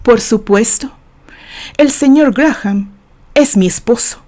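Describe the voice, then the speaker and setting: A woman's voice: a little high, slow, warm tone. A Mexican woman voicing a devoted, resolute wife who speaks in an earnest, composed way in an audio romance story. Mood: composed, firm.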